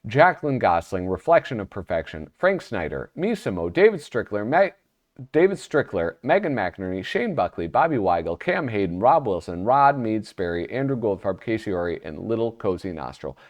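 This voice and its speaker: A man's voice: fairly low pitch, sing-song, moderate pace. A man hosting his own magazine review channel, chatty and self-deprecating, sounding nasal from a cold. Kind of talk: casual solo review vlog.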